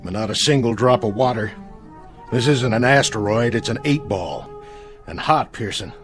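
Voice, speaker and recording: A man's voice: mid-range voice, normal speed, soft background music, lightly acted. An actor playing a selfish, desperate crewman stranded in space, sardonic and complaining, in a radio drama. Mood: bitter, weary.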